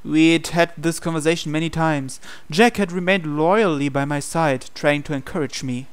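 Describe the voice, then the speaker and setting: A man narrates a visual novel playthrough from the script. His voice is fairly high for a man, smooth and clear, with a dramatic storytelling delivery. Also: normal speed.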